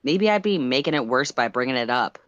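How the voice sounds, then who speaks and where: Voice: medium pitch, expressive, fairly quick, a bit bright, dry recording, lo-fi sound, natural voice. A narrator voicing an anxious, sarcastic teenage boy who mutters and interrupts himself in a story reading. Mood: worried muttering. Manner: muttering, self-interrupting, sardonic.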